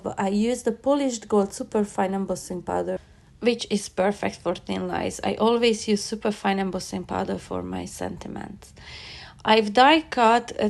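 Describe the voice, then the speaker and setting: A woman's voice: mid-range voice, creaky, a little mellow. A woman with a stuffy, nasal voice from a head cold, chattily narrating a craft demonstration as a tutorial host. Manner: chatty, enthusiastic, self-correcting.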